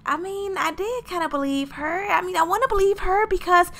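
A woman playing a sassy, boy-crazy young woman in an improvised roleplay story, with slangy, expressive girl talk. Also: extremely high pitch, a little fast, voice pushed up. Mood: hopeful, excited.

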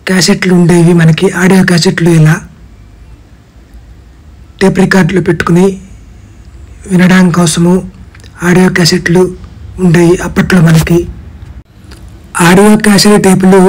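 A man's voice: sits high, poor audio. A middle-aged man narrating an informal vlog, explaining things phrase by phrase. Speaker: vlogger.

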